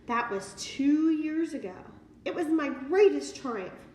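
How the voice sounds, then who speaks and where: Woman playing a gentle schoolteacher, echoey room. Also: sits high, lively intonation, takes it slowly, pitched above the performer's own voice. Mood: confiding, proud. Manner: earnest, confiding storytelling. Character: gentle young woman schoolteacher, quietly proud and candid. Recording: roomy, distant mic, faint noise.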